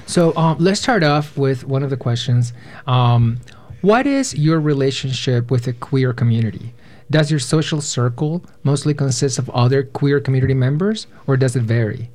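A man's voice: mid-range voice, normal speed, darkish tone. A man in his twenties hosting a live radio panel from a studio, speaking to listeners and guests. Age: 20s. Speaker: radio host, panel moderator.

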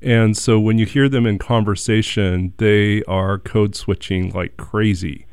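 Low-voiced host guy, smooth but gravelly, laid back, dry close sound. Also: measured pace, no room sound. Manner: relaxed, reflective, some stammering restarts. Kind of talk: casual interview chat.

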